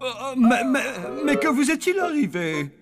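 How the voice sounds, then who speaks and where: A man's voice: very high voice, big pitch swings, moderate pace, over loud music. A man voicing a bewildered, theatrical zombie father in a French children's cartoon, with exaggerated delivery. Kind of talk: French animated children's series dubbing.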